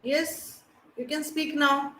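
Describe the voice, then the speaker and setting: Female counselor running a video-call class, sharp and high, echoey room. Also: fairly even intonation, slow pace, slight background noise. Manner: calls on students one by one, hesitant fillers. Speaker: academic counselor. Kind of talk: leading an online class introduction.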